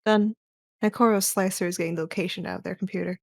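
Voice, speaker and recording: A woman's voice: lowish voice, steady tone, fast, creaky. A woman playing a cool, ruthless agent in an audio drama, clipped, businesslike and condescending.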